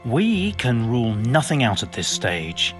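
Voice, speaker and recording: An actor playing a learned, pompous but well-meaning and absent-minded monk physician in a video game, speaking in wordy, formal phrases. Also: male voice, medium pitch, huge pitch swings, unhurried, soft background music. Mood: scholarly, brisk. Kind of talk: video game cutscene acting.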